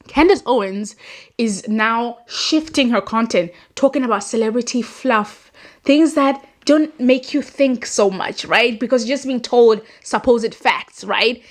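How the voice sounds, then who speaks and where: A young woman, a non-native English speaker, hosting a chatty, rambling commentary and laughing at her own points. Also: fairly high pitch. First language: not English. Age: young adult. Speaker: host. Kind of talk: casual commentary monologue.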